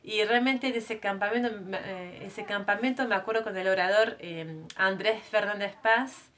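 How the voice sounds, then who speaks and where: A woman's voice: medium pitch, moderate pace, fairly bright tone. A French-born woman in her thirties shares her personal testimony as a missionary. She speaks to a group of women in an earnest, conversational tone. Her speech is full of small hesitations and self-corrections. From France. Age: 30s.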